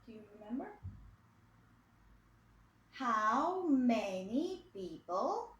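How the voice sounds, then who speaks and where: Slow, lively-voiced woman teacher. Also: fairly high pitch, reverberant. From Poland. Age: 20s. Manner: patient, repeats phrases for pupils to copy. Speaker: English teacher for young children. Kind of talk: slow, clearly spoken video lesson for kids.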